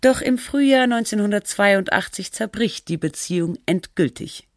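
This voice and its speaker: A sober documentary narrator played by a woman in a very dry studio. Her pitch is medium and her delivery measured. She reads in literary, analytical sentences, as in a radio feature. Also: expressive, no room sound, close to an everyday voice.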